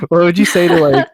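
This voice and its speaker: A man interviewing casually on a podcast in a hesitant, halting way, padding questions with "like". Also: high voice, husky, no echo. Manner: hesitant, halting, often says like. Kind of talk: casual chat.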